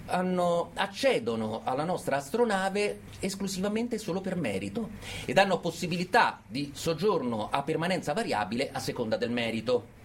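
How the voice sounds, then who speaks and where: An actor playing a smug, mock-cheerful prison host, unctuous and sardonic, in satirical radio theatre. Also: male voice, fairly high pitch, big pitch swings, normal speed, a little hiss or hum. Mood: mock-courteous, sardonic. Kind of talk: satirical stage-style radio theatre monologue.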